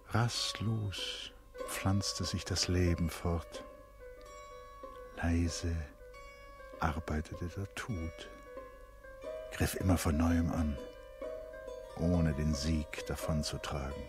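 Male narrator, extremely low pitch, measured, contemplative, literary radio play, plucked string music behind.

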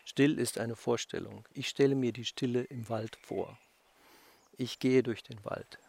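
A man improvising spoken word in short, deliberate, repeated statements. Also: medium pitch, steady tone, vocal fry, darkish tone.

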